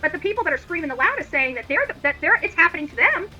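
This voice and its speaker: A woman's voice: very high voice, big pitch swings, rapid-fire, bright, forceful tone, dull audio, faint music behind. A woman livestream host delivering an indignant, opinionated rant in a combative, emphatic and repetitive manner.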